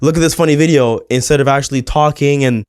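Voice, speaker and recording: A man's voice: mid-range voice, quick pace, darkish tone, clear, resonant tone, no echo, clean studio sound. A man co-hosting a podcast, telling stories in casual chat, swearing freely and stumbling over words. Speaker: podcast co-host.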